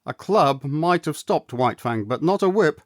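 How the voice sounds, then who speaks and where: A man reading a story aloud as an audiobook narrator, expressive and theatrical, with measured delivery. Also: on the high side, no echo, studio quality. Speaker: audiobook reader, actor.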